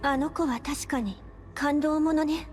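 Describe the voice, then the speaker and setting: A woman's voice: sits high, steady tone, a little fast, over music, close to an everyday voice. A woman voicing a cool, resolute female game protagonist in a Japanese anime style, with terse delivery.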